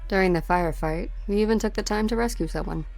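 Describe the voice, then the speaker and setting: A woman's voice: medium pitch, fast talker, vocal fry, darkish tone, faint music behind, lightly acted. A woman voicing a cold, taunting assassin in a dramatic game dub, with a cool, menacing delivery. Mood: sardonic. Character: cold, taunting female enemy assassin hunting her prey.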